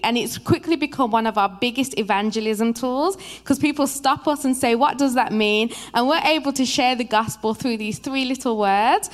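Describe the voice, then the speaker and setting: A young woman from England with a Birmingham accent, speaking as a guest preacher in a lively, chatty, personal way. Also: fairly high pitch. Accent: Birmingham English. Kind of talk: sermon introduction. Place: church.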